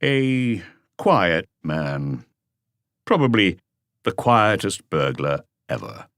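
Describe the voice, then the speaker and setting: A man voicing a calm, laconic senior police detective in an audiobook, terse and authoritative. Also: deep voice, takes it slowly, dry recording, studio quality, full character voice. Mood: pensive. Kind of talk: audiobook character dialogue.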